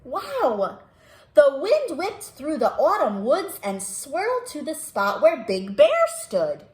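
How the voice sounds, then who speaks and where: Very lively high-pitched woman reading to children. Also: slow pace. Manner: sing-song, addresses children with simple questions. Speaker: children's librarian hosting musical storytime. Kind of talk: animated read-aloud for young children. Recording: roomy echo.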